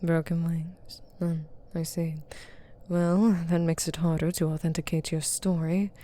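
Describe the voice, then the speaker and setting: A woman's voice: fairly low pitch, flat delivery, a little slow, warm tone, natural voice. A woman playing a haughty, shrewd demon buyer in a scripted ASMR roleplay, with a stern, authoritative and condescending manner. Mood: stern, skeptical. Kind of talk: scripted ASMR roleplay, addressing unheard partners.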